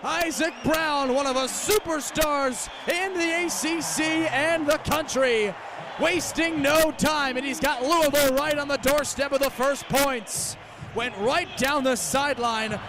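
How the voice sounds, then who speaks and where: A young man calling American football live on the radio as a play-by-play announcer, with crisp, detailed delivery. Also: extremely high pitch, measured pace. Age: young adult. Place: stadium game broadcast. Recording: live outdoor broadcast with background noise.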